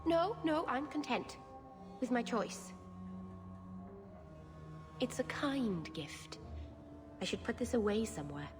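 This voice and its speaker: A woman's voice: a little high, big pitch swings, takes it slowly, pauses often, over music. A woman playing an earnest, stubborn and sensitive young elf mage in a video game. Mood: soft, wistful. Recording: long hall-like reverb.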